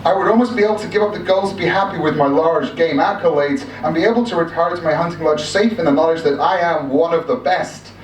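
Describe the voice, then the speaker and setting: A man's voice: fairly high pitch, fast, hardly any pauses, voice pushed up, close to an everyday voice. A man performing a pompous big-game hunter, echoey room. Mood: frustrated. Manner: pompous, theatrical, old-fashioned diction. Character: obsessive, boastful aging male big-game hunter, bald, wistful. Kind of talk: dramatic storytelling monologue read aloud. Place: live reading in a room. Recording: echoey room from a distance, noisy.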